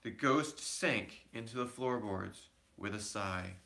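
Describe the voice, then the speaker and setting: Male parent reading to children: expressive, measured, forceful clear-edged tone, explains things simply along the way. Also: fairly low pitch. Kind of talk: animated storybook reading with asides to children.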